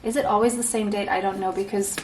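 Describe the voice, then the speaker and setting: A woman from Portugal giving a pick-a-card tarot reading in a soft, reassuring way, often checking in with "okay?" and clearing an irritated throat. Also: medium pitch, steady tone, quick pace, echoey room, distant mic, noisy background. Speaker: tarot reader.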